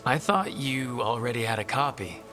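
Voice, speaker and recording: An easygoing, supportive fantasy game leader, with a medium-pitched voice and a casual, wry way of talking. Music is clearly audible behind him. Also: male voice, expressive, normal speed, sharp tone. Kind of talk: video game dialogue.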